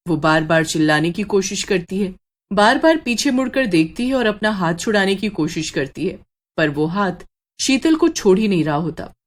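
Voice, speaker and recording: A woman storyteller reading a scripted horror story. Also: medium pitch.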